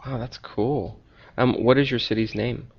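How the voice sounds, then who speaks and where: A man's voice: mid-range voice, fairly even intonation, normal speed, gravelly, husky, dull audio. A man interviewing a guest, asking curious short questions and reacting with interest. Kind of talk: casual interview.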